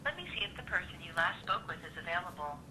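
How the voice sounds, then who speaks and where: A woman's voice: big pitch swings, fast talker, pushed and bright, slight background noise, low-quality recording. A synthetic woman's voice reading formal scripted announcements for an automated phone support system. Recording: phone system played into a rumbling room.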